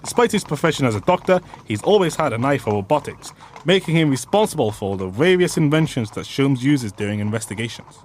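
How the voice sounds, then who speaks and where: A man's voice: medium pitch, lively intonation, a little fast, slight background noise, natural voice. An actor playing a sardonic, theatrical male narrator who mocks the hero in a scripted comic radio drama. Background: music.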